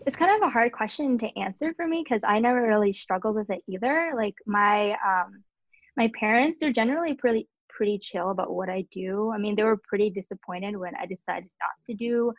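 A woman's voice: mid-range voice, a little fast, no echo, muffled recording. A young woman talking casually on a podcast, chatty, with frequent fillers. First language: Cantonese and English. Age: young adult.

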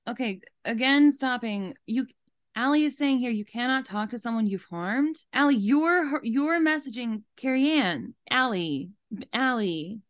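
A woman's voice: a little high, lively intonation, a little slow, no echo, clean studio sound. A woman hosting a podcast, giving casual, emphatic commentary and repeating phrases for stress. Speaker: podcast host.

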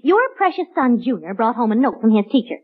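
A woman's voice: on the high side, big pitch swings, quick pace, breathy edge. An actress playing a sensible, exasperated American mother with a scolding, pointed delivery in old radio sitcom style. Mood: exasperated, stern. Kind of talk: 1940s radio sitcom acting. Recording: muffled old radio broadcast.

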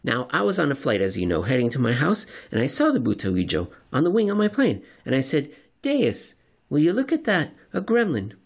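A man's voice: mid-range voice, wildly swooping pitch, brisk, gravelly, voice pushed up, heavily acted. A man voicing a crazed, sleepless male conspiracy theorist in an audio story, rambling and earnestly paranoid. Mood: excited rambling. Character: crazed sleepless conspiracy-obsessed male spaceship companion, manic.

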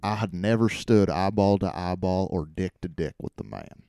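A man voicing a brash, folksy Southern gentleman politician with a Southern US accent in a mock quotation. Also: low voice, normal speed, gravelly, smooth, no echo, studio quality. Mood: indignant, folksy. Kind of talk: mock quotation in a comedy podcast.

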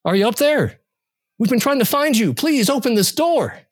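A man doing an urgent, high-pitched worried woman. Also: very animated, moderate pace, full character voice. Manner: pleading, urgent calling.

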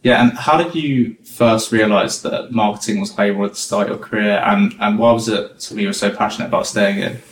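A man's voice: fairly low pitch, fairly even intonation, a little fast, few pauses. Male podcast host, echoey and distant. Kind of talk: conversational interview questions. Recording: echoey, distant mic, faint noise.

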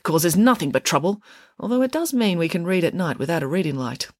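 A woman voicing a bossy, sharp-tongued, glamorous female pig from a storybook, scolding in a no-nonsense way. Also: fairly low pitch, sing-song, a little fast, no room sound, studio quality. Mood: grumbling, stern.

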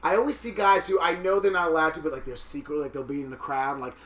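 A flamboyant man with a raspy voice rattles along at a quick clip, his tone bright and forceful. He sits a little high for a man and is recorded in an echoey room. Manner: flamboyant, animated storytelling. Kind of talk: casual chat.

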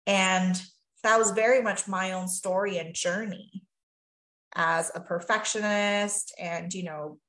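A woman's voice: medium pitch, fairly even intonation, takes it slowly, a bit bright, echoey room. A woman from Taiwan, a physician and life coach, talking earnestly and thoughtfully as a podcast guest, often saying "you know" and "really". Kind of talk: reflective podcast conversation.

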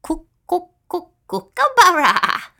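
A woman's voice: very high voice, wildly swooping pitch, slow, gravelly, bright, forceful tone, pitched above the performer's own voice, full character voice. A woman voicing a teasing, know-it-all male kookaburra who chortles through his words in a children's storybook. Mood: laughing, amused.

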